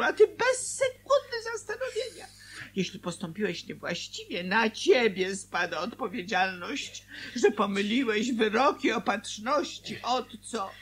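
An actress playing a small-town wife, anguished and reproachful, in an emotional, theatrical Polish radio drama style. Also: female voice, on the high side, husky, full character voice. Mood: accusing.

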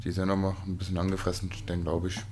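Brief: very low-pitched man, easygoing co-player banter, faint hiss behind. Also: monotone, fast, darkish tone. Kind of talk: casual gaming chat.

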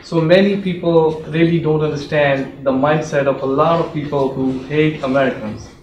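A middle-aged man from Pakistan with a Pakistani accent, standing in the audience and earnestly making a deliberate point. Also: on the high side, fairly even intonation, dull audio, a little hiss or hum. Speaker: audience member, political candidate. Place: university lecture room, standing in audience. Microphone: far room mic. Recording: echoey room from far off.

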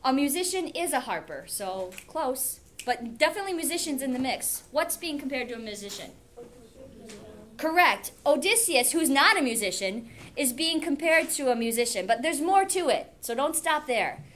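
Female American schoolteacher, forties, high-pitched and forceful, informal classroom delivery. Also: sing-song, normal speed. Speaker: English teacher. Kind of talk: classroom lesson with reading aloud. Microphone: camera a few feet away.

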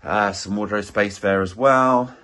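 Croaky estate agent, lower-pitched, upbeat and conversational, many fillers. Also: male voice, measured pace, sharp tone. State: slightly croaky voice. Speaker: estate agent giving a property tour. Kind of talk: casual walk-through property tour. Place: inside a small house, walking room to room.

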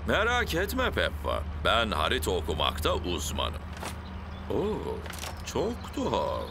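A jolly bumbling daddy pig. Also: male voice, lowish voice, wildly swooping pitch, takes it slowly, background noise, exaggerated voice. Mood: cheerful. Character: jolly bumbling father pig, middle-aged. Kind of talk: cartoon dub acting.

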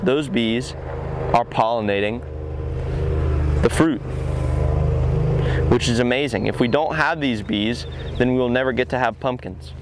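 A man's voice: mid-range voice, measured pace, pauses often, noisy background. A young man in his twenties on a lapel mic, explaining step by step with enthusiasm while handling plants in an open farm field. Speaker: farm educator. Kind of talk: outdoor teaching walk-through.

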